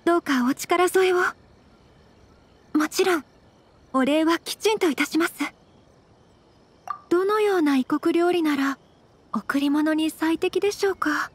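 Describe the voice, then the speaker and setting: A woman playing a refined, gentle young noblewoman in an anime game, speaking shyly in very polite, formal language. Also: extremely high pitch, measured pace, pauses often, a little hiss or hum. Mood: earnest. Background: soft background music.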